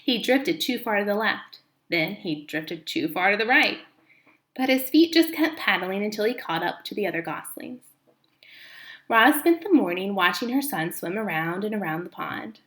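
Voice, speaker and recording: Female read-aloud narrator for children's fiction, lively and expressive intonation, mid-range voice, roomy indoor sound. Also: medium pitch, normal speed. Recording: echoey room.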